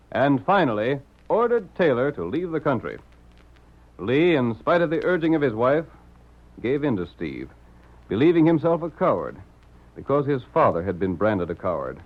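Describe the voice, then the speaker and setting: A man with an American accent narrating a vintage radio western as announcer, dramatic and declamatory. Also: a little high. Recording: muffled vintage radio with music.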